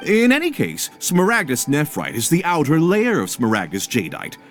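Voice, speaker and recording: Brief: slippery game merchant, formal and argumentative, highish voice that swings dramatically. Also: male voice, wildly swooping pitch, normal speed, a bit bright, soft background music. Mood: defensive, argumentative. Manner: theatrical, argumentative, polished formal phrasing. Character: indignant, slippery male merchant arguing his case. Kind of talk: video game cutscene voice acting.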